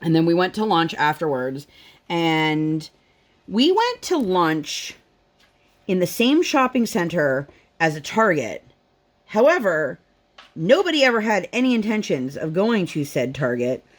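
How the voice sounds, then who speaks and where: Casual mom vlogger, lower-pitched, easygoing storyteller who keeps the chat loose and acts out quotes. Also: female voice, sing-song, measured pace. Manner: chatty, rambling, lots of fillers, acts out quotes. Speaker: vlogger, mother. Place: at home.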